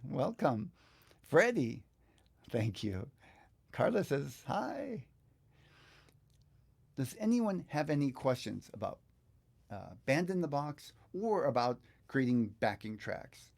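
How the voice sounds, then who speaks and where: Older man with a gravelly, creaky voice. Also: mid-range voice, sing-song, slow pace, pauses often. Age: older, 50s to 60s. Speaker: guitar teacher hosting a live online session. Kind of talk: casual live tutorial. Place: indoor home studio.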